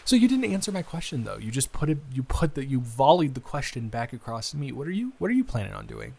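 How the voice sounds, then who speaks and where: A man playing a friendly, bookish young guy, his best friend's brother, chatting casually and haltingly in an improvised roleplay audio. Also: medium pitch, very animated, vocal fry, a little mellow, slightly rough, heavily acted. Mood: teasing, curious. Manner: halting, rambling, self-interrupting with repeated restarts.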